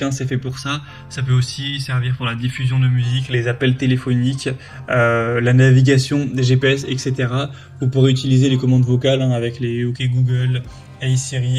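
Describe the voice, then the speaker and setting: A man presenting a product review in a casual, explanatory, conversational way. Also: mid-range voice.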